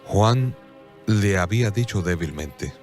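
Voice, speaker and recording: Guy narrating a radio play, solemn and measured, lowish voice, music clearly behind him. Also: animated, a little mellow. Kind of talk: scripted radio drama narration.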